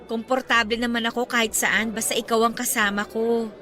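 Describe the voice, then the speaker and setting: A woman's voice: a little high, level tone, fairly quick, pushed and bright. An actress playing a cheerful, loving woman in her thirties in a radio drama, bubbly and affectionate. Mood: sweet, loving. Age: 30s.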